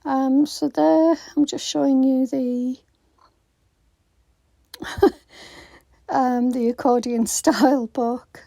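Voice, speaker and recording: Craft vlogger woman, croaky, mellow and slow, lots of ums, dry voiceover. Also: sits high, fairly even intonation, no room sound. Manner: hesitant, many ums and restarts. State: croaky voice after illness. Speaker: craft channel host. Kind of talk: casual voiceover for a craft video. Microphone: phone.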